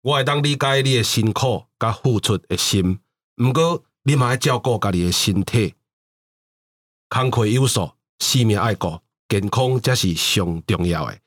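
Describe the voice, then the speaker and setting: A man acts out a grave, sentimental male leader for a radio drama. He speaks low and slow with a Taiwanese accent, solemn and tender, as if reading a heartfelt letter of state. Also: no room sound, studio quality. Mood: tender concern. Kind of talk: mock radio drama acting.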